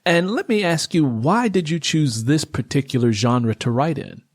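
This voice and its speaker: A man in his fifties hosting a conversational interview, reflective and halting as he works out his phrasing. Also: on the high side, expressive, dry recording, clean studio sound. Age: 50s. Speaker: interview host.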